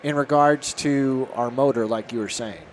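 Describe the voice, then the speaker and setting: A man's voice: medium pitch, moderate pace, a little hiss or hum. A man hosting a farm podcast, introducing a guest in an upbeat, conversational way. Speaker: podcast host. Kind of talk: casual podcast interview.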